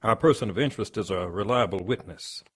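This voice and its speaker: An actor playing a gruff, brusque police lieutenant in an audio drama, with a husky voice. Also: male voice, lowish voice, fast talker, a bit bright. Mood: businesslike, impatient.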